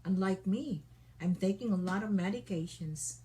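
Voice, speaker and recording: A woman's voice: mid-range voice, moderate pace, warm tone. A woman teaching an online English conversation class, patiently prompting and correcting her student.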